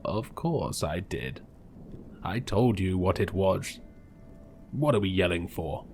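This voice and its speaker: Man playing a grumpy old shop guy, low voice, slow, blunt and stubborn. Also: lively intonation, darkish tone, a little hiss or hum, heavily acted. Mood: gruff. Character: gruff, defensive old male shopkeeper.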